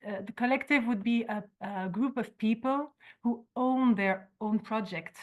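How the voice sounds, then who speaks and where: A woman from Belgium, calmly explaining ideas at an online forum. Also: fairly high pitch, unhurried, phone-quality sound. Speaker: forum organizer. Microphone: video call.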